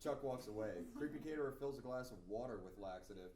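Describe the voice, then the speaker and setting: A man's voice: medium pitch, animated, quick pace, darkish tone. A man narrating scene directions at a screenplay table read. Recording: echoey room, distant, faint noise.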